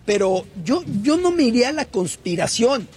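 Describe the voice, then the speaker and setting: A man's voice: extremely high pitch, sing-song, normal speed, darkish tone. A man with a Mexican accent giving casual opinions on football as a panelist. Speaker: sports show panelist.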